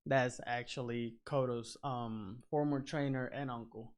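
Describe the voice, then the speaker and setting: A man's voice: mid-range voice, steady tone, unhurried. A young man from Puerto Rico, a boxer, answering interview questions in brief, easygoing replies. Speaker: guest, professional boxer. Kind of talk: casual interview chat.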